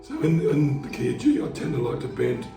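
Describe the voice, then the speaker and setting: A man with a hoarse, fading voice, casually explaining how he plays guitar over backing tracks in a laid-back, rambling way. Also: mid-range voice, big pitch swings, a little fast, distant mic. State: hoarse, losing his voice. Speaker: market busker teaching guitar. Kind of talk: casual instructional talk. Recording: roomy sound, away from the mic, guitar playing behind.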